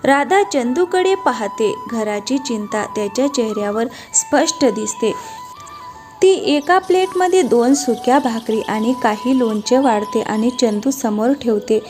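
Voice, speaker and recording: A woman's voice: a little high, animated, measured pace. A woman reading scripted narration for a cartoon moral story. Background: light background music.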